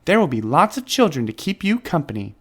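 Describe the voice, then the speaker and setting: A man voicing a cheerful, soothing young woman nurse who explains things gently in a children's storybook. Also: fairly high pitch, very animated, brisk, voice pushed up. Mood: kindly, reassuring.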